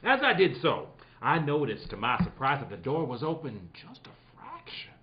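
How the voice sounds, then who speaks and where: A man's voice: a little high, huge pitch swings, moderate pace, highs cut off, background noise. Man playing a swooping, theatrical radio detective. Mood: storytelling, playful. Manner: playful, breaking off into asides and laughter. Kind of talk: hammy old-time radio mystery read, with joking ad-libs.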